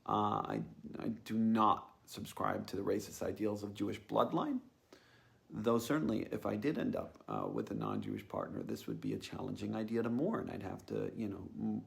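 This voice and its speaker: A man's voice: on the low side, animated, moderate pace, creaky. A man giving a reflective personal monologue as a host, musing tentatively and thinking aloud. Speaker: podcast host.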